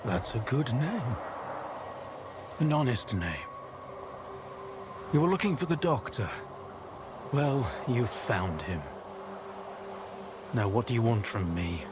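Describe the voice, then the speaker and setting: Slow, grave wasteland doctor. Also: male voice, mid-range voice, sing-song. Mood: grave, measured. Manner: measured, grave, compassionate. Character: protective, weary male doctor of a wasteland zone. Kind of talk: video game cutscene acting. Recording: noisy outdoor ambience, insect-like buzzing.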